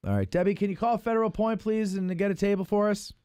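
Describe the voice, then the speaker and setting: A man's voice: high-pitched, fast talker, mellow, clear, resonant tone, dry recording, clean studio sound. An American man hosting a casual chat show, informal and rambling as he thinks aloud. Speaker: podcast host.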